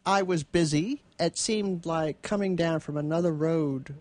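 A woman's voice: deep voice, a little slow, darkish tone, no echo. A woman co-hosting a radio show, chatting casually and reading literary prose. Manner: chuckles often while telling anecdotes.

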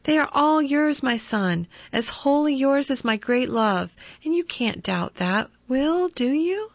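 A woman reading the part of a loving, pleading middle-aged mother, her voice lifted high and earnest. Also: lively intonation, measured pace, no echo. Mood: tender. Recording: dull lo-fi dry recording.

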